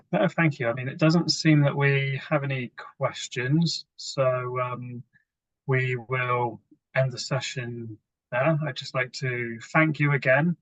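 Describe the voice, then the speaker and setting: A man moderates an online conference session in a mid-pitched voice, polite and a little hesitant with frequent "um" fillers. His audio is dull and lo-fi, dry with no room sound. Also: fairly even intonation, takes it slowly, a bit bright, dry recording. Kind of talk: hosting a webinar Q&A.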